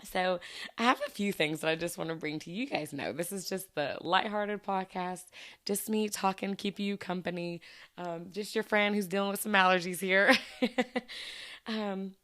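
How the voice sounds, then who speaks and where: A woman hosting a casual solo podcast, her voice low and scratchy from allergies, chatting and giggling in a self-deprecating way. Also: moderate pace, fairly bright tone. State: hoarse from allergies. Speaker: podcast host; teacher.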